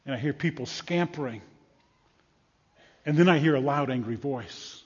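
A man playing an excitable blind beggar of ancient Jerusalem in a dramatic first-person monologue, halting and repeating himself, swinging between hushed and loud. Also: medium pitch, breathy edge, lightly acted. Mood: hushed, awed. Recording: echoey large hall, slightly muffled.

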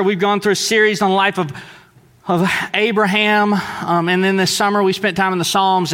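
A man's voice: high-pitched, pushed and bright. A man from Southeast Texas preaching a sermon in a loose, conversational way in a church sanctuary. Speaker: pastor. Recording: long reverb of a large church hall.

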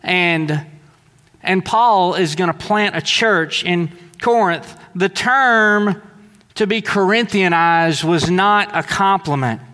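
A man's voice: high voice, takes it slowly, bright, forceful tone. A man from Southeast Texas preaching a sermon in a loose, conversational way in a church sanctuary. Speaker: pastor. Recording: long reverb of a large church hall.

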